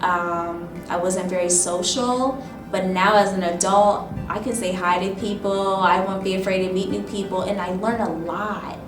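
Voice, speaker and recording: A woman in her thirties, a teacher, reflecting thoughtfully in an oral history interview, often pausing with "um" and "you know". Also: medium pitch, fairly even intonation. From Michigan, raised in Ohio. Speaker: teacher and instructional coach. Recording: roomy, distant mic with music behind.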